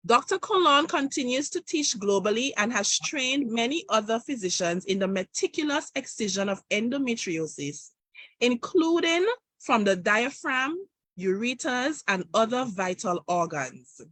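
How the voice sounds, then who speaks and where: Female host, slightly husky, dry close sound, measured formal delivery. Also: a little high, animated, a bit bright, no room sound. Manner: reads a prepared introduction, measured. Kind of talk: formal guest introduction.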